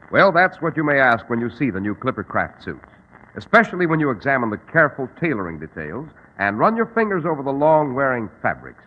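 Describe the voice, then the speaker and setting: A male radio pitchman delivers a scripted vintage commercial with animated intonation. His voice is husky. The old recording is dull, with a long reverb around the words. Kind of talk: 1940s radio advertisement read.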